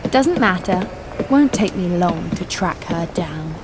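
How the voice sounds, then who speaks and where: A woman's voice: lowish voice, expressive, slow pace, noisy background. A woman playing a tough, stubborn, thrill-seeking bounty hunter in an audio drama, speaking brashly. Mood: confident.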